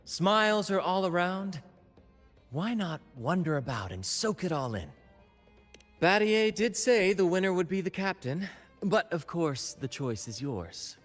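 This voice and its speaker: A man's voice: high voice, big pitch swings, measured pace, full character voice. An actor playing a flamboyant, theatrical young male ship captain in a video game. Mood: grand. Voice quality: showy, theatrical. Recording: long hall reverb, faint music.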